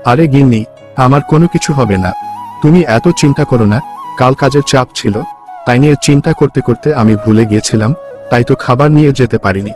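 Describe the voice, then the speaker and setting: A man's voice: mid-range voice, fairly quick, darkish tone, faint music behind, natural voice. A man playing a poor, kind village labourer and husband in a cartoon folk tale, with an earnest manner. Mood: reassuring.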